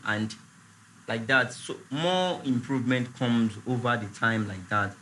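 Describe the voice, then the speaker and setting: A man, chatting casually as a guest on a talk show, relaxed and repeating his words. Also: medium pitch, unhurried, a little hiss or hum, poor audio. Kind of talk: casual chat.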